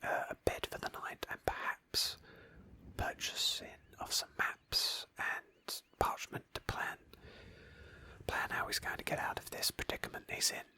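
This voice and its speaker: A woman whispering breathily in a hushed, thoughtful ASMR style as she narrates a roleplay game playthrough as its host. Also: unhurried, no echo.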